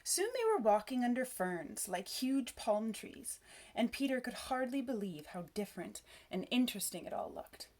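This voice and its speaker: A woman reading a children's story aloud, with expressive, measured narration and dramatic phrasing. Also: fairly high pitch. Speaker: storybook reader.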